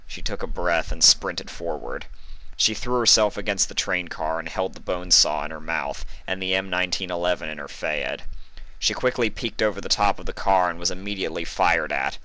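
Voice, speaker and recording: A man's voice: sits low, normal speed, pushed and bright, rough recording. A man reading a story aloud as a narrator, in dramatic scripted narration. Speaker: fan fiction reader.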